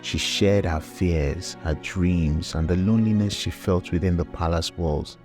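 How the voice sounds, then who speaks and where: A man's voice: sits low, unhurried, a little mellow, faint music behind. A man narrating a scripted folktale as the storyteller.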